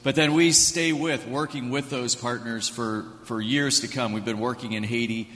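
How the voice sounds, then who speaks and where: A man who directs a ministry's international crisis-response arm, answering an interview question in a measured, informative way. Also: medium pitch, fairly even intonation, fairly bright tone. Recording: long hall reverb.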